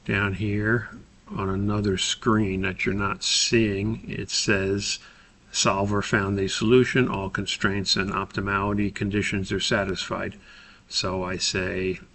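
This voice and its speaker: A man giving a methodical, step-by-step software tutorial as an instructor, explaining in a somewhat hesitant way. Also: on the low side, little pitch movement, takes it slowly, a little hiss or hum.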